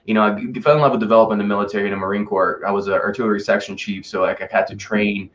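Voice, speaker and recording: A man's voice: on the low side, fairly even intonation, very fast, dull audio. A man from Russia talking casually and emphatically as a coaching guest on an interview show, telling personal stories and often checking in with informal asides. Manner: informal, emphatic, with rhetorical check-ins and buddy slang. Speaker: podcast guest, fitness and life coach.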